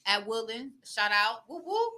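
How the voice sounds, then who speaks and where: A woman's voice: on the high side, sing-song, unhurried, pushed and bright. A woman guest, a former teacher, telling a story casually and rambling a bit. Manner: rambling, repeats herself, easygoing.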